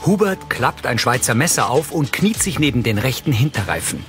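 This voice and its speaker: A man reading scripted audio description for a TV crime drama, matter-of-fact and clearly articulated. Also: medium pitch, very animated, normal speed. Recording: TV soundtrack playing underneath. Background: loud music and vehicle sounds.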